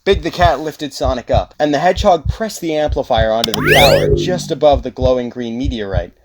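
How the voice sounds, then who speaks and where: A man's voice: medium pitch, a little fast, heavy background noise. A man giving scripted narration of a horror story as the narrator.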